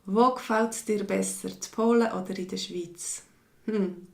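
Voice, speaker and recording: Slow, patient Swiss woman teaching online. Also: mid-range voice, fairly even intonation, gravelly. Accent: mixed Swiss German. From Switzerland. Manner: slow, patient, reads viewers' comments aloud. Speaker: language teacher. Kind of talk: live online language lesson. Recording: echoey room.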